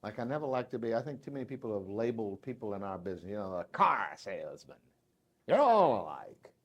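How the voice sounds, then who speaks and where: A man's voice: lowish voice, very animated, quick pace. Folksy Southern man giving a sales talk. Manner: folksy, joking, swings from loud to hushed. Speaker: motivational sales speaker. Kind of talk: live speech to a sales audience. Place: dealership meeting room.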